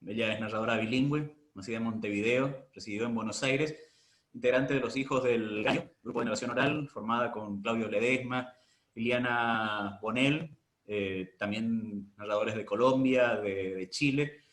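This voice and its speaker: A man hosting a formal interview, courteous and halting in his delivery, recorded with roomy echo over an internet call. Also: mid-range voice, steady tone, a bit bright. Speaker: interviewer, host. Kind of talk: formal interview, introducing a guest.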